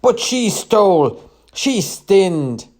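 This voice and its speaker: An indignant market trader, acted out by a man, barks accusations in a raised, strained pitch. He is loud and outraged, a hot-tempered figure from a storybook tale. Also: big pitch swings, drawn-out words, full character voice. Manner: shouting, indignant, barking.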